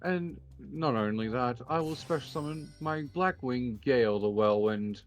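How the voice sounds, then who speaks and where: Confident male duelist acted by a man for anime game roleplay; measured, composed delivery, music bed. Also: medium pitch, lightly acted. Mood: assured. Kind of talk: improvised Minecraft anime roleplay. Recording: music behind the voice.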